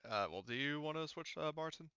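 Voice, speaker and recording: A man's voice: fairly high pitch, expressive, a little fast, pushed and bright, clean tone, no room sound, dull audio. A man acting as game master in a casual online tabletop game, explaining things in a hesitant way.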